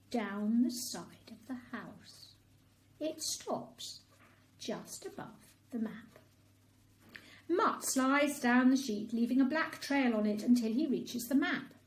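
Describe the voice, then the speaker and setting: Lively, high-voiced woman reading a bedtime story. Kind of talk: expressive bedtime story narration for children.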